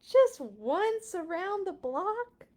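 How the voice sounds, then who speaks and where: A woman voicing a stubborn, childish storybook pigeon who wheedles and whines in dramatic, drawn-out pleas. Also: extremely high pitch, big pitch swings, a little mellow, voice pushed up, exaggerated voice. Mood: wheedling, pleading. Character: pleading, stubborn, childish cartoon pigeon begging to drive. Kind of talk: animated storybook read-aloud.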